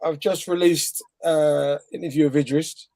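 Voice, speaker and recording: A man's voice: on the high side, fairly even intonation, moderate pace, mellow. Rambling Bajan-accented man. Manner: rambling, laughing storyteller. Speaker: guest interviewer. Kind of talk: casual live chat. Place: home, remote video call. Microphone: video call.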